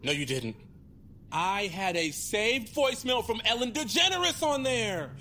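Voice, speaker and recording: An actor playing an earnest, goofy sidekick who rambles and quips in an audio drama. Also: male voice, high-pitched, sing-song, a little slow, sharp tone, slight background noise, full character voice. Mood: aggrieved. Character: earnest, goofy sidekick prone to petty fretting.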